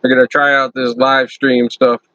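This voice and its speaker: A man hosting his own channel, chatting loudly and casually to viewers on a first live stream from his computer. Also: medium pitch, flat delivery, normal speed, no room sound, lo-fi sound. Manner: loud friendly talker. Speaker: YouTube channel host.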